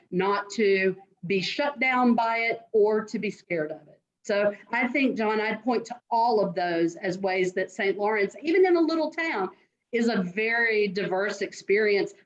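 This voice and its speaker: Earnest woman dean, dull lo-fi call. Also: mid-range voice. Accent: non-New York American. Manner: earnest, direct, advising students. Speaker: admissions dean. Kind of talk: answering interview questions.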